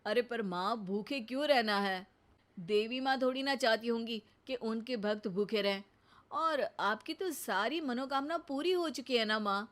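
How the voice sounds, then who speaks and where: A woman's voice: on the high side, unhurried, a bit bright. Casting: woman as grown son, caring and reasonable, mild, coaxing the elders, cartoon family story.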